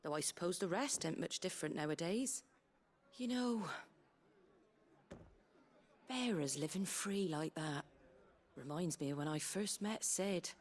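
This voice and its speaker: A woman playing a brisk, plain-spoken British innkeeper in a video game, earthy, colloquial and wry, tough but kindly. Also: on the low side, a little mellow. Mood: wry, reminiscing. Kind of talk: video game character dialogue. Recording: game music behind the voice.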